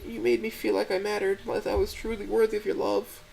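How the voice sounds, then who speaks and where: A man voicing a gentle young female dinosaur who stammers and quivers as she pleads tearfully. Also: sits high, level tone, a little fast, gravelly, slight background noise, pitched above the performer's own voice, poor audio. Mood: tearful pleading.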